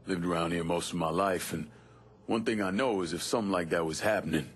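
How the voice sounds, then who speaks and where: An actor playing a tough, quietly probing avenger with a Southern American accent, blunt and laid-back, in a game cutscene. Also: male voice, deep voice, quick pace, a little hiss or hum, lightly acted. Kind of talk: dramatic game cutscene acting. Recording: faint music under the voice.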